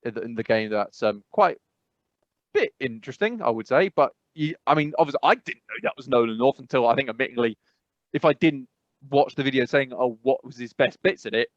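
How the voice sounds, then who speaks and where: A man's voice: mid-range voice, lively intonation, a little fast, no echo. Muffled dry recording, man, casual chat, rambling, podcast co-host.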